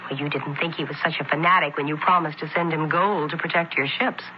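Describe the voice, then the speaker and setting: An actress playing a poised, willful young merchant's daughter, pointed and formal, in old-time radio drama. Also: female voice, low-pitched, steady tone, fast talker, close to an everyday voice. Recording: dull, noisy old radio recording.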